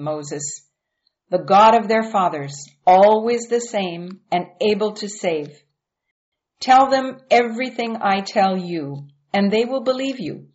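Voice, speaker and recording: A woman reading a storybook aloud and voicing God as a calm, firm and reassuring presence. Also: medium pitch, lightly acted. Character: God, calm patient commanding voice. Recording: dull lo-fi recording.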